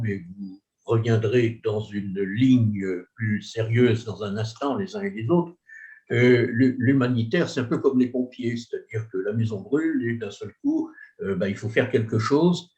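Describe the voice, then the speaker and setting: A man's voice: mid-range voice, a little mellow. A man moderating a webinar panel over a video call, speaking informally and familiarly with some hesitation. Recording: dull lo-fi audio.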